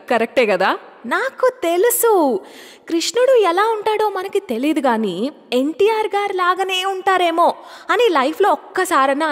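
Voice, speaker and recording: A woman's voice: sits high, big-room sound. A woman hosting a radio show in a breezy, chatty way, often asking listeners to agree with her.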